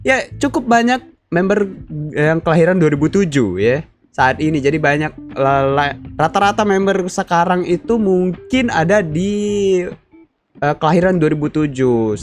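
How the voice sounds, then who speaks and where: A man's voice: a little high, expressive, moderate pace, faint music behind. A young man hosting a casual fan-commentary video, chatty and slangy, full of fillers and tag questions. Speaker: YouTube channel host.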